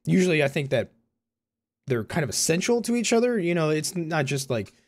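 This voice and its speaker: A man's voice: fairly high pitch, very animated, brisk. A man in his early twenties with a Californian American accent, chatting casually and informally to viewers in a rambling way, often correcting himself. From Concord, California, USA. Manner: rambling, informal, self-correcting, frequent "you know" and "like". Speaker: YouTuber and university student. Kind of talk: casual personal vlog talk to viewers.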